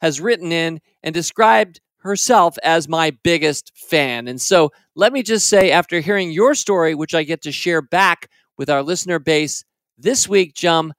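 Guy hosting a podcast, kinda high voice, dry close studio sound, chatty and upbeat. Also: a little slow, a bit bright, no echo. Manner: theatrical dramatic recitation of a parody poem. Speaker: podcast host. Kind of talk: reading a listener mailbag aloud.

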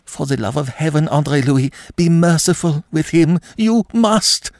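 Man voicing a frantic, high-pitched pleading French noblewoman. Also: expressive, measured pace, dry recording, studio quality. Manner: breathless, imploring, overwrought. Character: desperate pleading French noblewoman, panting, near hysteria. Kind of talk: dramatic audiobook character acting.

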